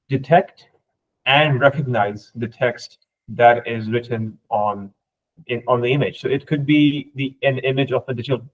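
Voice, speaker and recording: A male podcast guest and native Urdu speaker gives an informal technical explanation. His pitch is average and he teaches as he goes, often tagging points with a questioning check. The recording is dull and lo-fi. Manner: didactic, often checks with "right?".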